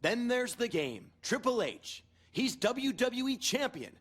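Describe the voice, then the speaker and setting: A cocky, mocking young wrestler in a video game promo. His voice is high and rough-edged, bouncing around in pitch as he delivers sarcastic trash talk. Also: very animated, a little slow, a bit bright, heavily acted. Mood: mocking, smug.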